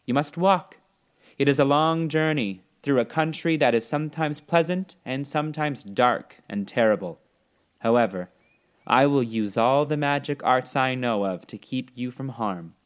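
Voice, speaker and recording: Guy doing a little old lady good witch from a storybook, kind n solemn, voice pitched up a bit, reassuring. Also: normal speed, clear, resonant tone, lightly acted. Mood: kindly, reassuring. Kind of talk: storybook character reading.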